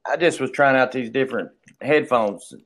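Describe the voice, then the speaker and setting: A man's voice: medium pitch, normal speed, a bit bright. A man co-hosting a casual video chat in a folksy, joking way. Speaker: co-host of a group video chat.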